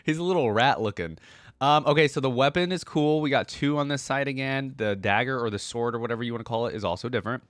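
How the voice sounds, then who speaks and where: A man's voice: medium pitch, quick pace, no echo, clean studio sound. A man hosting a reaction video, giving casual, informal commentary and thinking aloud as he goes. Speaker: video host reacting to game content.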